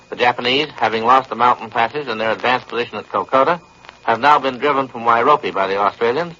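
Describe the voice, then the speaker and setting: Grave 1940s war news correspondent. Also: male voice, medium pitch, pushed and bright, a little hiss or hum. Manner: measured, grave. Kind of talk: wartime news commentary. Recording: muffled 1940s shortwave radio broadcast.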